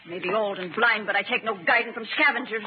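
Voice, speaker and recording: A woman's voice: medium pitch, close to an everyday voice. An actress playing a defiant, scornful old blind beggar woman in 1930s radio drama style. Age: older. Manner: defiant, contemptuous, quick retorts. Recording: scratchy, narrow-band 1930s radio recording.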